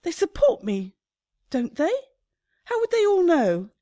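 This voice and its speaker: Radio comedy casting: actress for highly strung woman shop owner, agitated and indignant, high, animated delivery. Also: a little slow, exaggerated voice. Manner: agitated, melodramatic. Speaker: actor in a radio play.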